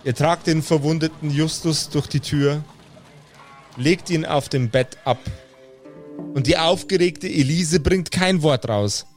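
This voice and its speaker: A man's voice: on the high side, unhurried. A man working as game master, improvising the narration of a tabletop role-playing game. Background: background music.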